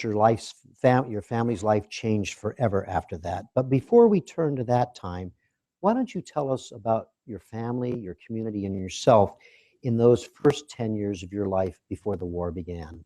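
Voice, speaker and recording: Man hosting an interview, measured. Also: lowish voice, mellow, dry recording. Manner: measured, introductory. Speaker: interviewer and host. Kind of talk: live museum interview.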